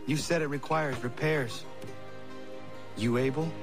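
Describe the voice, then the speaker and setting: A man's voice: medium pitch, steady tone, a little slow, natural voice. An actor playing an earnest, serious young man in a video game, blunt and sparing with words. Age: young adult. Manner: terse, plain, solemn. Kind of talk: video game cutscene acting. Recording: music behind the voice. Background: music.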